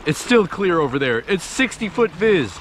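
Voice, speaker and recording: Excited man talking outdoors by the sea. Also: high voice, moderate pace, slight background noise. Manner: excited, upbeat. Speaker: fishing vlogger. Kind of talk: casual talk to camera. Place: outdoors on a rocky shore by the sea.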